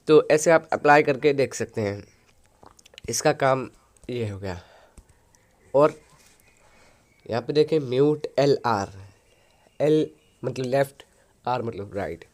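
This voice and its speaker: A man's voice: mid-range voice, a little mellow. Man explaining an audio editor step by step. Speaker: tutorial host. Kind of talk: software tutorial walkthrough. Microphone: headset mic.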